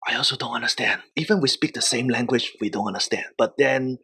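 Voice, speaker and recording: A man's voice: medium pitch, quick pace, sharp tone, husky. A man from Hong Kong, a native Cantonese speaker with a Hong Kong accent, telling stories casually as an interview guest. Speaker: professional wrestler, interview guest. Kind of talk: casual storytelling.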